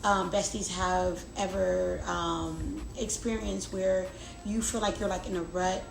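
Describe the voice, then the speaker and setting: Young woman doing a casual vlog chat, gravelly fry in her voice, repeats words for emphasis, roomy echo. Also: fairly low pitch, fairly even intonation, unhurried, over music. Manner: chatty, emphatic repetition. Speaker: lifestyle vlogger. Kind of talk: casual confiding chat to camera. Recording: roomy echo, clear background noise.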